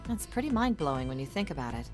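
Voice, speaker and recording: An actress playing an inquisitive, self-assured, teasing young woman in a visual novel game, with expressive delivery. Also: a bass voice, quick pace, over music. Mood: intrigued. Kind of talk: dramatic visual novel voice acting.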